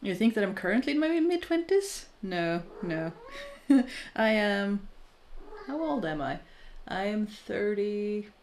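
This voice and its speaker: A woman's voice: medium pitch, very animated, slow, a little mellow, slight background noise. A woman in her thirties chatting casually and candidly with her viewers, thoughtful and self-deprecating, often restarting her sentences. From Norway. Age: 30s. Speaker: crafting streamer chatting with viewers. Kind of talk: casual live chat.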